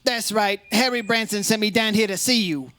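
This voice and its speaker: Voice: mid-range voice, moderate pace, bright, forceful tone, smooth, no echo, natural voice. An actor playing an unflappable insurance investigator, narrating a case in old radio detective style, wry and matter-of-fact. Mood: businesslike. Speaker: narrator and lead character.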